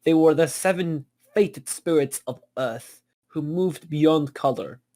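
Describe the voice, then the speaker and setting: Man reading as a solemn, slightly high fantasy narrator. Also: slow, dark tone.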